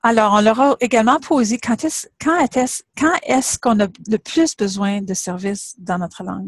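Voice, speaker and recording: A woman's voice: mid-range voice, breathy edge, no echo. A middle-aged woman, a university professor giving a webinar presentation and setting out her points in order. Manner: explanatory, measured, lays points out in order.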